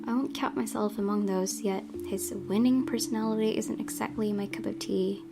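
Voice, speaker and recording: A woman's voice: mid-range voice, fairly even intonation, normal speed, creaky. A woman hosting a let's-play, reading a visual novel's narration aloud and commenting on it. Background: guitar music.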